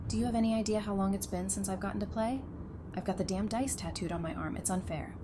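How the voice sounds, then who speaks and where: A woman's voice: medium pitch, fast, mellow, slight background noise, close to an everyday voice. A woman acting a wry, guarded, tough-minded survivor in frank, conversational audio drama dialogue. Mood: wry, mock-aggrieved.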